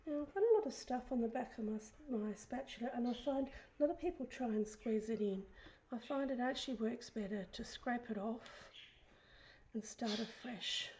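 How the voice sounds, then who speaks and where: A woman with a croaky, hoarse voice, chatting casually through a craft tutorial and often dropping into a whisper as she works. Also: on the high side, moderate pace, dark tone, rough recording. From Australia. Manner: chatty, often drops to a whisper while working. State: hoarse, recovering from illness. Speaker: craft vlogger. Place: craft desk indoors, fan running.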